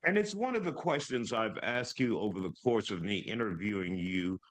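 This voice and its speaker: Tv news host guy, lowish voice, emphatic when he asks stuff. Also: animated, normal speed, a bit bright, rough recording. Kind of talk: news interview.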